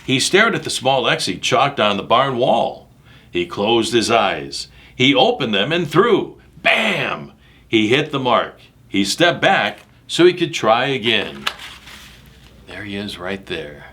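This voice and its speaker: Male picture-book reader for kids: bright, punchy voice, highly animated intonation, emphatic and direct to the listener. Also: slow pace. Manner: dramatic, emphatic, addressing young listeners directly. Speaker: storyteller reading a picture book aloud to children. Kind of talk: animated read-aloud with asides about the pictures.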